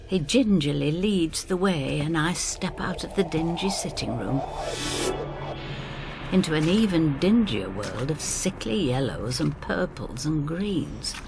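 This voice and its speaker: An actress playing a plucky, down-to-earth older woman who narrates her adventure in an expressive, wry first-person style. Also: low-pitched, unhurried, music in the background. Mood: wry storytelling. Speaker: narrator and lead actor.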